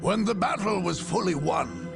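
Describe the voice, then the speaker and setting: A man playing a grave, measured chronicler, giving solemn, theatrical narration for a video game cutscene. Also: sits high, vocal fry, loud music behind the voice.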